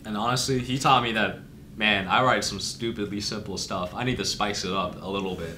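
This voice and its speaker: A man's voice: on the low side, brisk, slight background noise. A young man from Thailand, chatting animatedly about his band in a small studio. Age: young adult. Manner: animated, acts out quotes. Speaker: band frontman. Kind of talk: casual chat. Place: small studio room, indoors. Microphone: boom mic.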